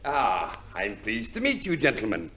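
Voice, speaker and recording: Fussy, animated solicitor. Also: male voice, on the low side, normal speed, full character voice. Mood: courteous, muddled. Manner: fussy, hesitant, formal. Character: fussy, unflappable English solicitor, officious and courteous. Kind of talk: 1950s radio comedy play acting.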